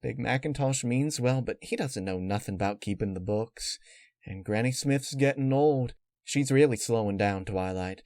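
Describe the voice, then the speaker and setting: A man's voice: mid-range voice, normal speed, a little mellow, no room sound, clean studio sound, natural voice. A man voicing an anxious pregnant farm mare with folksy country phrasing in a story reading. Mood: wistful.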